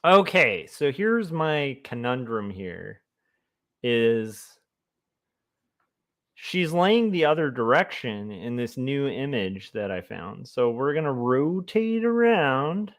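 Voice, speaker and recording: A man of middling pitch talks casually to his stream while drawing. His voice is naturally loud and sits close on the mic. He rambles along with plenty of ums and likes. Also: big pitch swings, takes it slowly. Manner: rambling, chatty, many fillers. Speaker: comic artist streamer. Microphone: desk mic close to the mouth.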